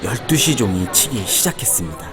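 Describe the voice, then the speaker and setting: A man's voice: fairly low pitch, big pitch swings, a little fast, over music, crowd noise. A man reading a children's fairy tale aloud as a scripted storybook narrator.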